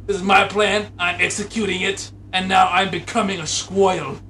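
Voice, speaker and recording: Comic would-be supervillain inventor, male performer: high-pitched, excitable, rambling, forceful. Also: normal speed, slight background noise. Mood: gleeful scheming. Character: excitable, scheming male inventor turning squirrel supervillain, easily panicked.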